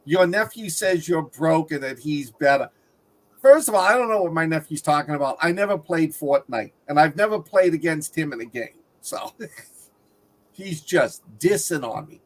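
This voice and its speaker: A man's voice: fairly high pitch, expressive, normal speed. A man co-hosting a film review show, talking casually with the other panelists. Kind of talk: casual panel chat about films.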